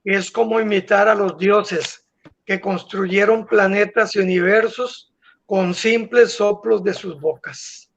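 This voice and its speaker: A man slowly reciting a poem in measured lines at an online festival. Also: high voice, steady tone, vocal fry, fairly bright tone. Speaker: poet reciting. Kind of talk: poetry recitation. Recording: dull lo-fi online call audio.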